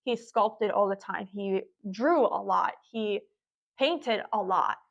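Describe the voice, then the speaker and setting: A woman's voice: medium pitch, slow pace, creaky, muffled recording. A young woman, a podcast guest, talking at length in a reflective, casual way. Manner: reflective, talkative, explains at length.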